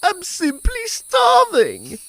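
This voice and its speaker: A man voicing a starving, pleading female grasshopper in a storybook fable, with plaintive, drawn-out speech. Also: extremely high pitch, huge pitch swings, creaky, sharp tone, dry recording, voice pushed up, full character voice.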